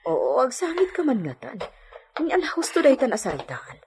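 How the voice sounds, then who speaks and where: An actress playing a gossipy, motherly household servant in a radio drama, fussing in a husky voice. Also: high-pitched, huge pitch swings, normal speed, a little mellow, a little hiss or hum. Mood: fussing, consoling. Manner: nagging, confiding, fussing.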